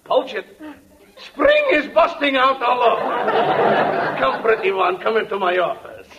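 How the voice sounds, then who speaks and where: A man's voice: very high-pitched, animated, measured pace, over a noisy crowd, heavily acted. A man playing an oily, lecherous ladies' man in a 1940s radio comedy. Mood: leering. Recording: muffled 1940s radio with audience noise.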